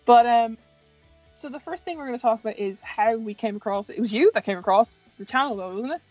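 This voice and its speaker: A woman's voice: fairly high pitch, quick pace, a little mellow, dry recording. An Irish woman chatting casually as co-host of a fan discussion, with banter and some swearing. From Ireland. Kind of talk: casual chat. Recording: muffled lo-fi audio with music. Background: music.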